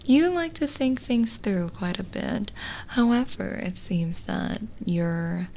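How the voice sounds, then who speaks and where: Slow, hushed witch played by a woman, often whispering. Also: mid-range voice, big pitch swings, slight background noise, rough recording. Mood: intrigued, soothing. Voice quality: soft, breathy, often slipping into whisper. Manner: slow, hushed, soothing, with pauses. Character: lonely, gentle witch reading a guest's palm. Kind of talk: soft-spoken ASMR roleplay, partly whispered.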